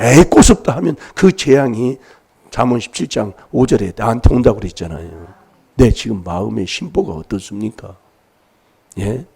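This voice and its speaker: A man's voice: fairly low pitch, vocal fry, mellow, husky. A man preaching an emphatic sermon in a church, prodding his listeners with rhetorical questions while he has a cold. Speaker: pastor.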